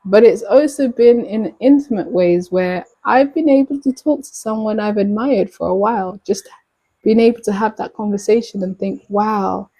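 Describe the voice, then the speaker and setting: A woman's voice: mid-range voice, normal speed, warm tone, low-quality recording. A British woman hosting a casual livestream, chatting playfully with guests and greeting viewers by name. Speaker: livestream host. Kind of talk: casual live chat with viewers.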